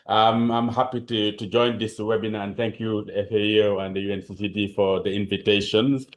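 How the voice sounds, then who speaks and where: A man from Senegal with a French accent, giving a hesitant, halting webinar presentation over a video call, with many fillers and restarts. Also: on the low side, level tone. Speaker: panelist.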